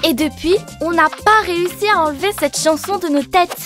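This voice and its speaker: A woman's voice: very high-pitched, a little fast. A woman voicing a bubbly little baby girl with a singsong manner in a French children's cartoon. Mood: worried. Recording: loud children's music behind the voice.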